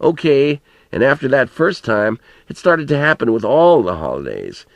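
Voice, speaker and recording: A man's voice: mid-range voice, sing-song, moderate pace, vocal fry, no room sound. A man reading a storybook as an elderly farmer grandfather, plain-spoken and folksy, telling rambling, earnest reminiscences with some mild swearing. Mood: reflective. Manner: colloquial rural storytelling, plain-spoken, mildly profane.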